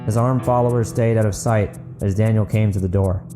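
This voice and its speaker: Lowish-voiced male narrator over guitar music. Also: fairly even intonation, brisk. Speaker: podcast host and narrator. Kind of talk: audiobook narration and podcast outro.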